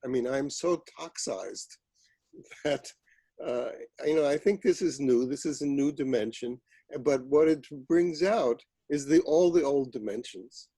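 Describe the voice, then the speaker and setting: A man, a novelist, talking casually and haltingly on a group video call. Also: medium pitch, dark tone, husky, no room sound. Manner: hesitant, halting, wry.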